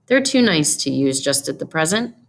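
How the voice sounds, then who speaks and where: A woman's voice: deep voice, sing-song, gravelly, slight background noise, heavily acted. A woman voicing a serious, dazed and tender young husband, speaking earnestly in a storybook read-aloud. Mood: tender, resigned. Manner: slow, puzzled, earnest.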